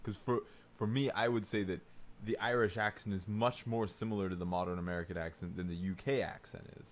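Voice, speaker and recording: Guy on a podcast, voice a bit low, casual, opinionated, likes to question stuff. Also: fairly even intonation, a little fast. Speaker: podcast co-host. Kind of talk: casual chat.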